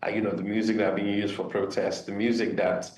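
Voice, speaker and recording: Male guest commentator, lower voice, opinionated but hesitant, distant roomy mic. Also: level tone, brisk, a little mellow. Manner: hesitant, many fillers, opinionated. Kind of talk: opinionated discussion. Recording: roomy echo from a distance, faint noise.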